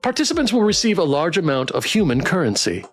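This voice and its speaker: A man's voice: fairly high pitch, big pitch swings, quick pace, faint music behind. A man voicing a bureaucratic space alien reading an official letter in a formal, matter-of-fact way for a game. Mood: formal, salesy.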